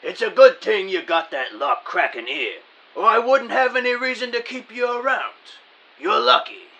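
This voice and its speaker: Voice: medium pitch, big pitch swings, normal speed, a bit bright, highs cut off. An actor playing a bossy, irritable male thug in a comic audio drama, scolding in old-time mobster slang.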